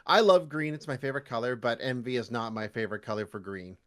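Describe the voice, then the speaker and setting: A man's voice: medium pitch, quick pace, a bit bright. A Canadian man chatting casually as a podcast guest, animatedly slipping into mock voices when he quotes people. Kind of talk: casual interview chat.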